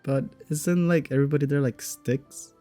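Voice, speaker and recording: A woman's voice: extremely low pitch, fairly even intonation, normal speed, dark tone, soft background music. A woman giving casual, laid-back and wry commentary as she streams a game.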